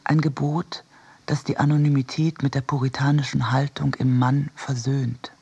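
Male essay reader for radio: mid-pitched, rough and breathy, deliberate delivery. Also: flat delivery, a little mellow. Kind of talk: scripted literary essay reading.

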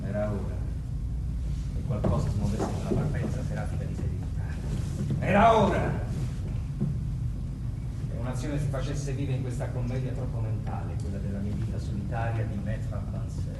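A man's voice: lowish voice, animated, moderate pace, pauses often, very noisy, lightly acted. A man playing a mad, megalomaniac Roman emperor in a theatrical stage monologue, declaiming grandly and swinging between brooding and frenzy. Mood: brooding, ironic. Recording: echoey distant stage, loud music. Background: loud music.

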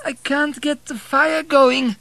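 A man's voice: very high-pitched, steady tone, slow pace, bright, forceful tone, no room sound, voice pushed up, exaggerated voice. A man narrating an audiobook, voicing a querulous, whining, frail old woman with a thin, cracked, quavering voice. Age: elderly. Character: querulous, toothless, frail old Polish peasant woman, suspicious.

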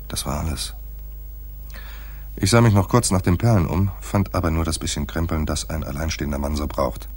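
Husky, very deep-voiced hard-boiled detective. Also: male voice, steady tone, brisk, a little mellow. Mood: dry, detached. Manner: laconic, dry, terse. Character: hard-boiled male private detective narrating his own case. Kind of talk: noir radio play, first-person narration.

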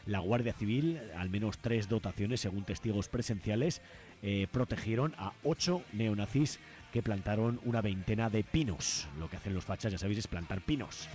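Male radio host from Spain, fairly low voice, informal and hesitant commentary. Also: normal speed, a little mellow.

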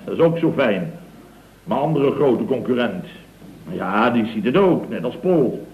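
An actor playing a flustered, blustering middle-aged contractor boss who stammers, repeats himself and grumbles in a comic radio drama. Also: male voice, medium pitch, expressive, unhurried. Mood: grumbling, scornful. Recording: dull, roomy old radio recording with hiss.

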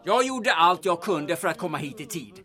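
An actor playing a hapless, self-pitying private detective, always on the defensive, in a period audio drama. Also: male voice, fairly high pitch, lively intonation, bright, forceful tone, soft background music. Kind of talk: period radio drama acting.

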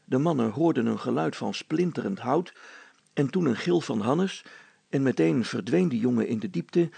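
A male storyteller narrates a children's tale. His voice is very creaky and husky, in a dry recording with no room sound. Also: medium pitch, animated, normal speed, mellow, dry recording.

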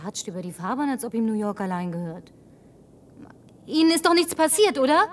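An actress playing a brash, lonely survivor in a German science-fiction radio play, speaking in a brisk, sardonic, bossy way. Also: female voice, fairly high pitch, lively intonation, far from the mic, lightly acted. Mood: irritated, snapping. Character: brash, lonely woman, last woman in deserted New York.

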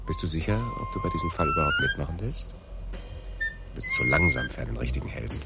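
An eager police detective in a radio crime play. His voice is extremely deep and wildly animated, with a theatrical, teasing edge, set against loud music. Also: brisk, gravelly, exaggerated voice. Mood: sardonic.

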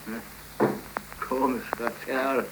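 An actor playing a gruff, dryly amused gravedigger who speaks laconically, in a 1930s radio play. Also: male voice, mid-range voice, flat delivery, drawn-out words. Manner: laconic, dry. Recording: hissy, echoey, distant 1930s radio recording.

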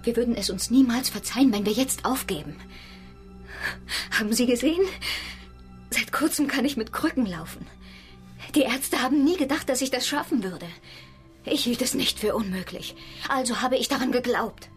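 An actress playing a proud, determined sailor in a radio drama, speaking earnestly with deliberate pauses. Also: female voice, fairly high pitch, lightly acted. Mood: impassioned. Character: determined, proud woman sailor, recovering from injury. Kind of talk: German radio drama acting. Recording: studio radio drama with music underneath. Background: music.

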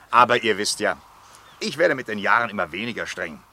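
An actor playing a genial, teasing aging aristocratic uncle in a radio play, speaking with chuckling, old-fashioned courtesy. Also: male voice, on the low side, animated, moderate pace, pushed and bright, full character voice. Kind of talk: German radio play acting. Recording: clear ambient noise behind voice.

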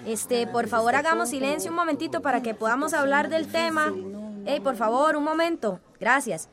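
An actress playing a determined community leader in a radio drama, firmly appealing to villagers at a meeting. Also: female voice, high voice, moderate pace, crowd noise, natural voice. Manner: appealing, orderly, firm.

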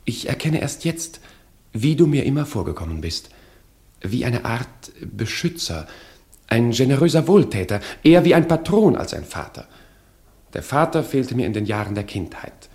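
An actor playing an earnest, wounded grown son in a radio drama, halting and reflective as he speaks. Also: medium pitch, big pitch swings, a little mellow.

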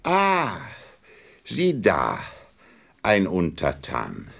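A man's voice: low voice, huge pitch swings, extremely slow, creaky, fairly bright tone, exaggerated voice. A man playing a proud, commanding king in a radio play, speaking in a pompous, regal manner. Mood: imperious.

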